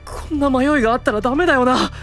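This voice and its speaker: A man's voice: extremely high pitch, fast, fairly bright tone, faint music behind. An actor playing an earnest, brave but self-doubting young male knight in a Japanese fantasy voice drama. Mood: troubled, doubting. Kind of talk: Japanese anime-style voice drama.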